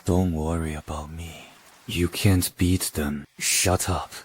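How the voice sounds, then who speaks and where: A man's voice: sits low, steady tone, slow. A man playing a stubborn, devoted husband and hero in an anime dub, earnest and dramatic. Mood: stern. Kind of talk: dubbed anime drama acting.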